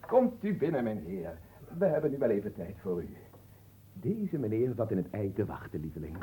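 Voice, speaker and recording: An actor playing a pompous, absent-minded marquis who muses aloud theatrically in a radio play. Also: male voice, lowish voice, big pitch swings, normal speed, warm tone, slight background noise, poor audio. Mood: delighted.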